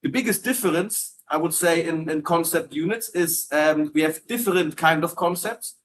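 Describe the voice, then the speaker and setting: A man's voice: on the high side, normal speed, a little hiss or hum. A man from Germany hosting a casual live Q&A about hotel entertainment jobs, friendly and full of fillers and restarts. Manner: friendly, filler-heavy, repeats words while reading comments. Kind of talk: casual live-stream chat.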